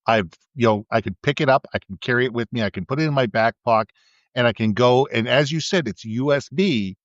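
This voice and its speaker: A man co-hosting a casual podcast, talking at length in a booming voice, with frequent restarts, into a USB mic kept a little away from his face. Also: brisk, no echo, dull audio. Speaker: podcast co-host. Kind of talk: casual chat. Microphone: USB condenser mic with windscreen, held back from the mouth.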